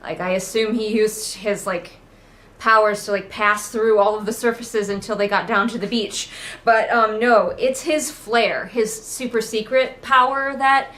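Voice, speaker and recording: A woman's voice: mid-range voice, steady tone, unhurried, fairly bright tone. A woman giving casual, sarcastic book commentary with exasperated, mocking asides. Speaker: book critic hosting a readalong. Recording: noticeable background noise, train outside. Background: ambient noise, passing train at times.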